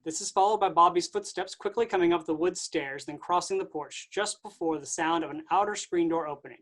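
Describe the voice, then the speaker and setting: A man reading stage directions aloud in a staged play reading, steady and plain. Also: high voice.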